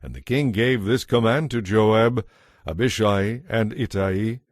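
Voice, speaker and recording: A man narrating a dramatized audiobook in a measured, solemn storytelling manner. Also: fairly low pitch, no echo, studio quality. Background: faint music.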